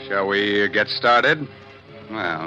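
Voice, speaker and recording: A man playing a gleefully macabre, mock-cordial horror show host in a vintage radio style. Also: mid-range voice. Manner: mock-cordial, teasing, chuckling. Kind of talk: 1950s radio horror hosting. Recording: muffled vintage radio recording with music.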